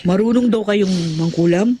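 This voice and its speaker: A man's voice: high-pitched, moderate pace, warm tone, slight background noise, natural voice. An actor playing a vengeful, stubborn young Filipino man with intense melodramatic delivery in a horror audio drama. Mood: determined.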